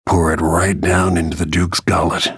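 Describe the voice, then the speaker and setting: An actor playing a grim, vengeful middle-aged assassin in a video game, brooding in a gravelly, husky voice with low-key menace. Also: male voice, little pitch movement, normal speed, no room sound, studio quality. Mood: grim, determined. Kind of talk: dramatic game voice lines, brooding inner monologue.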